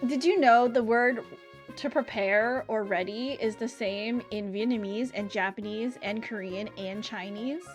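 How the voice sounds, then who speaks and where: A woman's voice: a little high, measured pace, faint music behind. A woman streaming a game and chatting casually, laughing often and apologizing a lot, switching between English and Vietnamese to greet viewers.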